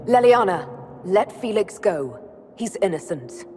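A woman's voice: medium pitch, sing-song, a little slow, darkish tone, a little hiss or hum. A woman playing a determined young elf heroine in a video game, level-headed and earnest. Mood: firm. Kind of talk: video game dialogue acting.

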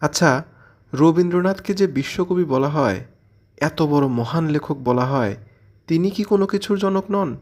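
A man's voice: on the high side, unhurried, a little mellow. Man presenting scripted literary commentary. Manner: explanatory, poses questions and then answers them. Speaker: presenter of a literary audio channel.

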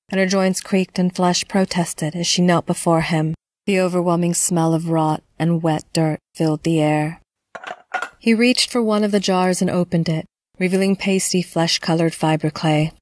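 A woman narrating a scripted horror story in a measured, dramatic storytelling manner. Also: fairly low pitch, a little mellow, no room sound.

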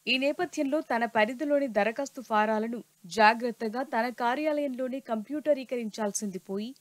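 A woman narrating a news report in a steady, formal reporting voice. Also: a little high, no room sound.

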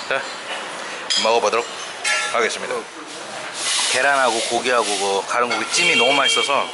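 A man casually narrating a food vlog, chatting about dishes and prices on camera at street restaurants. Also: mid-range voice. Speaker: travel vlogger. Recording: loud street noise, traffic.

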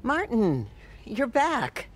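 An older woman playing a kindly, gentle aunt in a naturalistic video game drama. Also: fairly high pitch, wildly swooping pitch, extremely slow, soft background music, heavily acted. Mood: warm concern.

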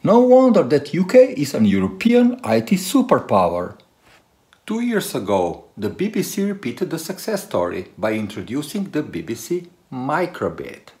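Animated man vlogger, lively pitch swings. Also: moderate pace. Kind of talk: presenting to camera and narrating a car tech video.

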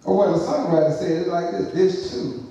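A middle-aged man preaching a sermon as pastor, building to shouted emphasis and asking the congregation for response. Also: high voice, expressive, a little fast, gravelly. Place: church sanctuary, large, indoors. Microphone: pulpit microphone, far camera. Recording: very echoey church hall from a distance.

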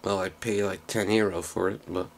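A man giving a casual, unscripted hands-on demonstration in a low, creaky voice, recorded on a handheld camera microphone. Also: fairly even intonation, moderate pace, slight background noise. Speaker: hobbyist video maker. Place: small indoor workroom.

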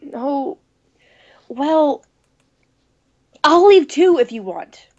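Gentle young male peacemaker, played by a woman, concerned, highish pitch, visual novel, slightly muffled mic. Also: very animated, drawn-out words, full character voice. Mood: flustered. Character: Mike, gentle young man trying to keep the peace.

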